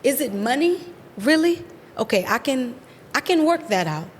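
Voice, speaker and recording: An American woman recounts her move abroad with lively, expressive energy. Her voice sits a little high. The sound carries a lingering reverb and a faint background rumble. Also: normal speed. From USA. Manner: expressive, animated storyteller. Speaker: guest. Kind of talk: personal storytelling interview.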